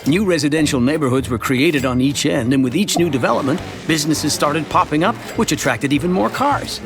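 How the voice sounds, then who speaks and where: A man playing a chatty, wry middle-aged suburban family man who narrates his town's story in an animated short. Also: fairly high pitch, brisk, natural voice. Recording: music behind the voice. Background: music.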